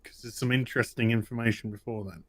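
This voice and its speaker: A man's voice: mid-range voice, steady tone, fast talker, husky. A British man co-hosting a TV review show, telling things in a casual, rambling way. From United Kingdom. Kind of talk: casual recap chat.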